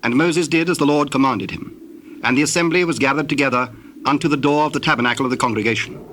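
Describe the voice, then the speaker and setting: A man narrating dramatized scripture in a solemn, measured storytelling manner. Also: medium pitch, fairly bright tone, slight background noise.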